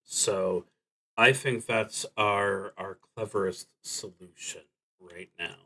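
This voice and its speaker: Slow, low-voiced man thinking aloud. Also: steady tone, sharp tone. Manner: halting, deliberate, trailing off between thoughts. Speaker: solo tabletop roleplaying streamer. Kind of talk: thinking aloud while playing a solo roleplaying game.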